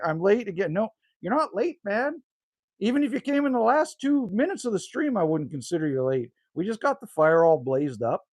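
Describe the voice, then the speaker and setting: Man in his fifties, folksy livestream host, lively pitch, dry close sound. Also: dry recording. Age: 50s. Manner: folksy, rambling, chatty with viewers. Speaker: livestream host reading chat. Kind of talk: casual livestream chat. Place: outdoors by a rural cabin.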